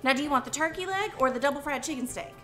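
An actress playing a bossy woman at a county fair in a scripted comedy sketch, scolding and brisk. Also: high-pitched, pushed and bright, faint music behind.

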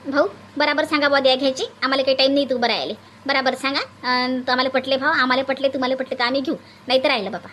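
A woman's voice: sits high, fairly even intonation, rapid-fire, fairly bright tone, slight background noise. A woman voicing an outspoken, bossy farmer's wife in a cartoon, with a Varhadi Marathi accent. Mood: bargaining. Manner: bossy, argumentative.

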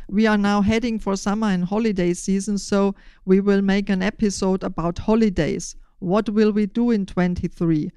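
An older woman explaining a podcast in measured, deliberate, prepared phrases. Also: mid-range voice, mellow, clear, resonant tone, no echo. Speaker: podcast host.

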